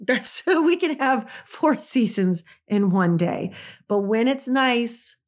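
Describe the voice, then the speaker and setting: A woman's voice: a little high, very animated, slow. A woman, hosting her own podcast in a casual, chatty way, laughing often. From Midwest United States. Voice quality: raspy. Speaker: podcast host. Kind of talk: casual solo podcast chat.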